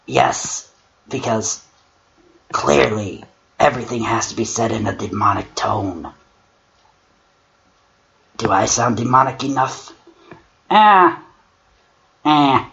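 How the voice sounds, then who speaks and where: A man voicing a wry god of struggle who reads a horror story dramatically and mocks it in a theatrical, sarcastic, deliberate way. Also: medium pitch, lively intonation, a bit bright, heavily acted. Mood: sarcastic exasperation. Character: male god of struggle, Discord's brother, wry theatrical critic. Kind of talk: dramatic creepypasta reading with mocking commentary. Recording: dull lo-fi mic with clear noise.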